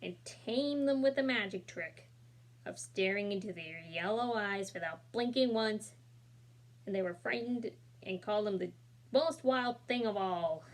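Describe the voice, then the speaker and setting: A woman reading a children's picture book aloud, gently talking to young listeners between pages and voicing the characters with expression. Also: medium pitch, unhurried, low-quality recording. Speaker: storybook reader. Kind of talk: children's read-aloud with asides.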